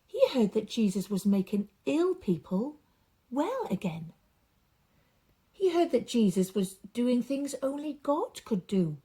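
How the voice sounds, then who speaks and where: Slow, expressive woman reading a children's story. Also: on the high side, breathy edge. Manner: dramatic emphasis with drawn-out repeated words. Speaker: children's storyteller reading a picture book aloud.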